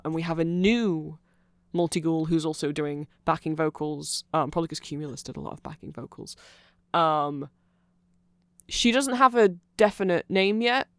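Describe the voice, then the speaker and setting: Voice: medium pitch, moderate pace, clear, resonant tone, no room sound. A person giving an informal, rambling ASMR-style explanation full of asides, close to the microphone. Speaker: ASMR audio creator.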